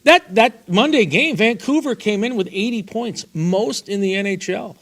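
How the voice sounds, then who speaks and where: A man's voice: high voice, normal speed, a bit bright. A man co-hosting a casual sports talk show, giving folksy, opinionated takes.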